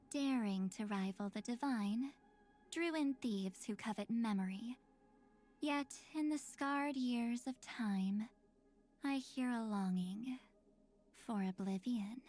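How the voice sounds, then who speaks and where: An actress playing a wistful, enigmatic young woman made of memory in a video game, speaking theatrically with breathy sighing phrases. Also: on the high side, darkish tone. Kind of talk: scripted game character voicelines.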